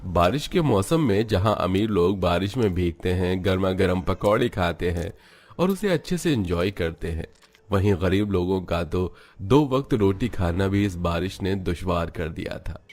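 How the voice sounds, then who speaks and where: Deep-voiced male story narrator. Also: expressive, moderate pace, a little mellow. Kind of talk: scripted dramatic narration of a cartoon story.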